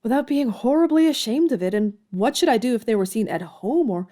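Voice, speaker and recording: Mellow, earnest young woman played by an actress. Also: on the high side, expressive, brisk, lightly acted. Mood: troubled, earnest. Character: proud, earnest young woman writer in period drama. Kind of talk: period audio drama acting.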